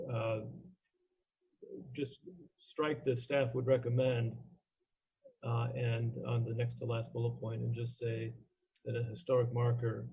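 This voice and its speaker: Husky, creaky man chairing a meeting. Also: medium pitch. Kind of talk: procedural meeting talk. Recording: dull lo-fi online meeting audio.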